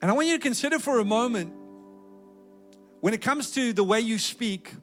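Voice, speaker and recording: A man's voice: very high-pitched, lively intonation, moderate pace, soft background music. A South African man preaching a sermon with a South African accent, in an earnest, emphatic exhorting manner. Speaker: pastor.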